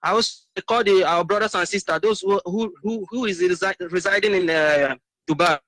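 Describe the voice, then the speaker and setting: A man's voice: high-pitched, moderate pace, fairly bright tone, no echo, low-quality recording. A man with a Nigerian Igbo accent, exhorting listeners in repeated phrases as a caller on a political radio phone-in. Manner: exhorting, repetitive. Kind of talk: political appeal with blessings.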